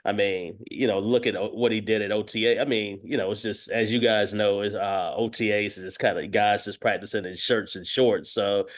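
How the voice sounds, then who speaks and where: A man's voice: fairly low pitch, fairly even intonation, moderate pace, hardly any pauses. A man talking as a guest sports analyst, giving conversational football commentary sprinkled with verbal tics like "you know".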